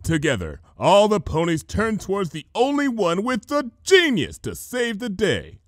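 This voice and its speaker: A man narrating a comic fantasy story as an expressive reader. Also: high-pitched, measured pace, no echo, clean studio sound. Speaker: fan fiction reader.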